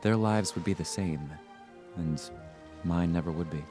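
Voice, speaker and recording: An actor playing an earnest, loyal young grandson on the run in a radio drama. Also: male voice, low-pitched, unhurried, over music. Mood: wistful.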